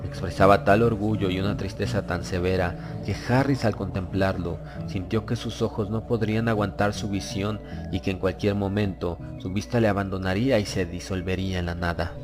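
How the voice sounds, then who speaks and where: Deep-voiced man narrating horror. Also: steady tone. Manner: solemn, measured, dramatic. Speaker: audiobook narrator. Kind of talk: dramatized scripted horror narration. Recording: loud music behind the voice.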